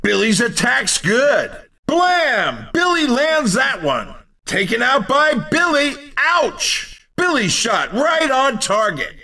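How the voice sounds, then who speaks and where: An actor playing an excitable video game race commentator, calling out hits in a punchy, exclamatory shout. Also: male voice, very high voice, slow pace, recorded from a distance, exaggerated voice. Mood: excited. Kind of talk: excited arcade sports commentary.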